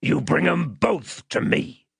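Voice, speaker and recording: A rough, dangerous convict from a storybook, acted out by a male narrator, growls coarse rustic threats. The voice is husky and gravelly, swinging widely in pitch as he bullies. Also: lively intonation, takes it slowly, dry recording, exaggerated voice. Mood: threatening. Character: menacing rough escaped convict, adult man.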